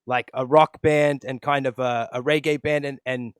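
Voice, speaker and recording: A man's voice: mid-range voice, steady tone, moderate pace, clear, resonant tone, no room sound, studio quality. A man from Los Angeles with an American accent, hosting a casual podcast interview over a video call in a laid-back way. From Los Angeles, USA. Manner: laid-back, says "bro" constantly. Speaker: podcast host.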